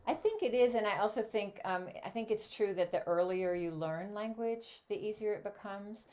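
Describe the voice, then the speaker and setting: A woman's voice: medium pitch, lively intonation, moderate pace, darkish tone. An older woman born in Switzerland, a native German speaker, chatting as a guest songleader in a casual interview, rambling with many asides. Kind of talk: casual video chat interview.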